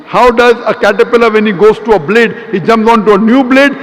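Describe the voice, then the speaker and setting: A man's voice: high voice, brisk. A man answering an audience question in a public lecture, explaining step by step, citing verses and repeating points in lists. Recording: long hall reverb.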